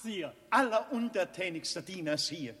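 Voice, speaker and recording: An actor playing a grovelling, affected gentleman sycophant in a 1960s German radio play. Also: high voice, expressive, normal speed. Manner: servile, affected. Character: grovelling, shrill gentleman sycophant at royal court. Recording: long hall reverb, distant.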